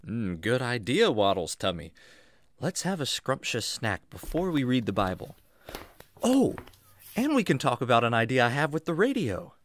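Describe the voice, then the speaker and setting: Give me a man playing a lively, kindly jungle storyteller. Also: mid-range voice, moderate pace, no echo. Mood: playful, showy. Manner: expressive, reassuring, explanatory. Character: kindly, enthusiastic jungle man Jack, patient storytelling teacher. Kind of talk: children's radio drama acting.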